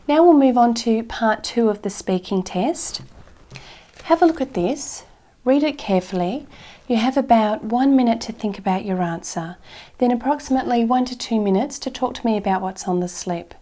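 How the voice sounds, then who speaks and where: A woman's voice: fairly high pitch, expressive, moderate pace, warm tone. A woman playing an exam interviewer, asking questions in a polite, formal way. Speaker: examiner.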